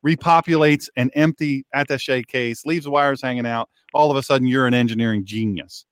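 A man in his fifties hosting an opinionated radio talk show, often repeating words for emphasis in a halting way. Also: mid-range voice, steady tone, smooth, no room sound. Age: 50s.

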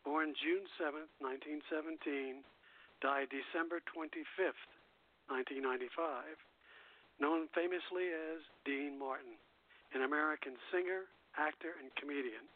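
A man's voice: a little high, moderate pace. A man hosting a radio show, reading semi-scripted narration and now and then stumbling over names. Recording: tinny phone-line internet radio, music behind.

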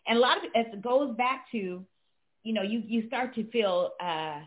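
A woman's voice: on the high side, normal speed. A woman co-hosting a casual discussion, chiming in and reading questions from the chat. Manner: conversational, chiming in with agreement. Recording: tinny phone-like line.

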